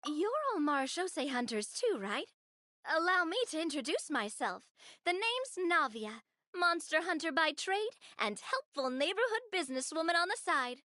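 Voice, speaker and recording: A woman's voice: high voice, very animated, moderate pace, bright, forceful tone, no echo, studio quality, exaggerated voice. A woman voicing a bubbly, confident young businesswoman and monster hunter in a video game, upbeat and encouraging. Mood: introducing. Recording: game audio with faint music behind.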